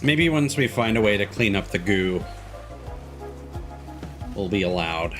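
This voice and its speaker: A man's voice: fairly low pitch, expressive, a little slow. A man giving casual live commentary as he streams a video game. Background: game music.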